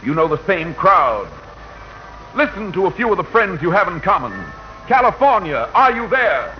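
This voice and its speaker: An actor playing Radio itself, a proud, rousing figure declaiming grandly to the nation in a 1940s radio pageant. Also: male voice, high-pitched, very animated, moderate pace, slight background noise. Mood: stirring, proud. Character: radio itself personified, proud rousing male voice addressing America. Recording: dull 1940 radio recording with music.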